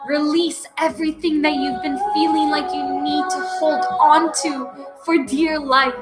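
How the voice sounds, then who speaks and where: High-voiced woman leading guided breathwork, unhurried and soothing, over clear background music. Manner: slow, soothing, repetitive coaching with loud breathing sighs. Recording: music playing behind.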